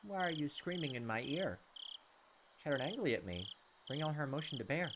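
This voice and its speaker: A man's voice: on the high side, sing-song, fairly quick, noisy background. A young man reading visual novel text aloud and commenting as a let's play host. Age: young adult. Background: game music.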